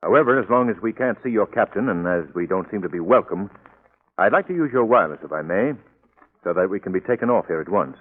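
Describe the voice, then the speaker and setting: An actor playing a well-spoken, courteous but firm gentleman hero, in crisp 1940s radio style. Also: lowish voice, animated, fast. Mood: firm, indignant. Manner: crisp, formal, educated phrasing. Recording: tinny, band-limited 1940s radio recording.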